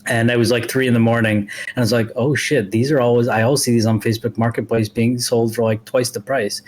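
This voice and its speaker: Male talk-show guest, mid-pitched, informal and jokey, lots of slang, faint music underneath. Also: level tone, fast talker, darkish tone. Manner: slangy, joking. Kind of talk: casual chat.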